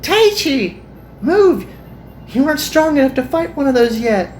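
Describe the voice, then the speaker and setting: A woman voicing a kind, earnest young magical girl in a visual novel, with gentle and formal phrasing. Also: sits high, very animated, measured pace, a little hiss or hum. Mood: alarmed.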